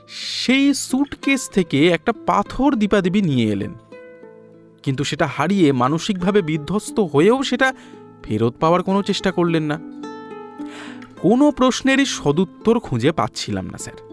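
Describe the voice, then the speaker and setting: A chatty Bengali detective in an audio story, high-voiced and very lively. He is deferential and rambles through his reasoning point by point, with music behind him.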